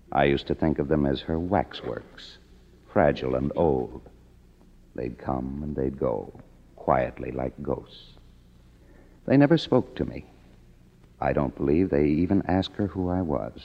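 A man's voice: rumbling low, creaky, full character voice. An actor playing a world-weary, cynical man, wry and hardboiled, in a 1950s radio drama. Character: cynical, world-weary kept man narrating his story. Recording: muffled old radio recording, music behind.

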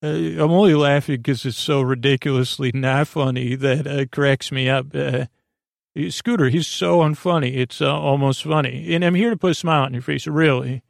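Creaky-voiced man rambling through a bedtime podcast. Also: medium pitch, steady tone, moderate pace, no room sound, studio quality. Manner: meandering, tangent-prone, hesitant delivery with trailing restarts; goofy, silly. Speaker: podcast host.

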